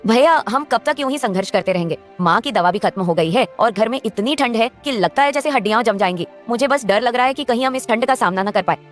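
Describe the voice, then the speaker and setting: A woman's voice: a little high, lively intonation, fast, hardly any pauses, faint music behind, natural voice. A woman playing a worried young sister. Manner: anxious, pleading. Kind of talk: animated story voice acting.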